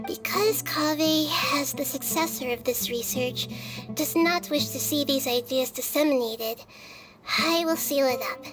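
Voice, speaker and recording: A high, childlike voice of a small goddess of wisdom. The delivery is serene and measured, formal like a philosopher, as in a video game, with music playing behind it. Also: female voice. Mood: serene, wise.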